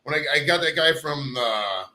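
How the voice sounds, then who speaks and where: Male podcast host, casual and profane, bright forceful voice a bit high for a man. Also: expressive, normal speed. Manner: loose and profane, says bro and dude. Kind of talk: casual chat.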